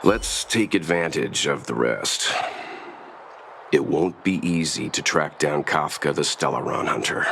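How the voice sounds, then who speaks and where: Very deep, stern grown man. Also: unhurried, gravelly, fairly bright tone, slight background noise, full character voice. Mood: measured. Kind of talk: dramatic video game voice acting.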